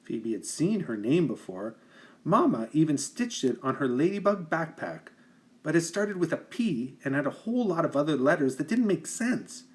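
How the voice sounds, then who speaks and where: A man narrates a children's picture book in an expressive, teacherly way. His pitch moves up and down with lots of animation, and he places careful emphasis so that young listeners can follow. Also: moderate pace.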